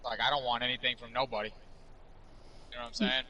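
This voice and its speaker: A man's voice: medium pitch, fairly even intonation, quick pace, pushed and bright, slight background noise, rough recording. A man in his twenties streaming a game, chatting casually and telling rambling, profane stories. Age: 20s. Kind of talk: casual chat while gaming.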